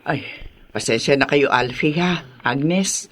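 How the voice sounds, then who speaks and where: An actress playing a kindly, grateful older mother with a gentle, apologetic manner in a radio drama. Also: rumbling low, takes it slowly, exaggerated voice.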